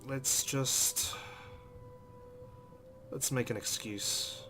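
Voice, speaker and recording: A man's voice: mid-range voice, fairly even intonation, drawn-out words, noisy background. A man hosting a let's play, expressively reading a visual novel aloud and adding his own asides.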